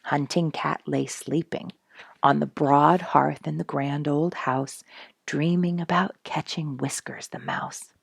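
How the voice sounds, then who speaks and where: Husky, low-voiced woman reading a children's story. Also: fairly even intonation, slow, no echo, studio quality. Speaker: storybook reader and host. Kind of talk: scripted children's story narration.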